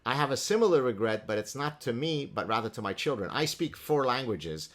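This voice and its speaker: A man from Lebanon whose first language is Arabic, hosting an interview and telling stories in an animated, jovial way. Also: mid-range voice. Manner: animated, storytelling, quick to laugh. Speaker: podcast host. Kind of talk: conversational interview.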